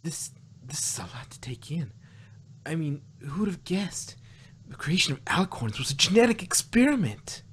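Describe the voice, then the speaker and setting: A man's voice: a little high, sing-song, moderate pace, a bit bright, breathy edge, full character voice. A man voicing an eager young female pony princess who asks questions in astonishment, in an amateur fan-fiction reading. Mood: bewildered. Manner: incredulous, questioning.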